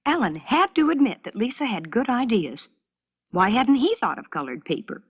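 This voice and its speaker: Expressive woman narrator, animated and slightly husky. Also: a little high, moderate pace, no room sound, muffled recording. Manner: expressive storytelling. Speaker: narrator of a children's educational filmstrip. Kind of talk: scripted children's story narration. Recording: dry 1970s educational cassette recording.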